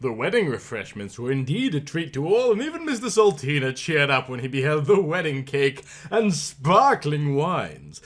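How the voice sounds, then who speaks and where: A man narrating a storybook theatrically, with emphatic, drawn-out words and big swells and drops. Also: a little high, wildly swooping pitch. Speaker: reader of a storybook. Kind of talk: theatrical comic audiobook narration.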